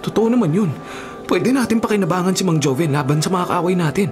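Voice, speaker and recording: A man's voice: high-pitched, fast, lightly acted. An actor playing a calculating, vengeful new male prisoner with a persuasive, pragmatic manner in a radio drama. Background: music.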